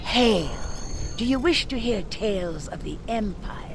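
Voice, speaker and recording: A woman voicing a solemn Klingon lore keeper in a video game, speaking in a formal, measured storytelling manner with a gravelly edge. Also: mid-range voice, lively intonation, over music. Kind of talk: scripted video game character dialogue.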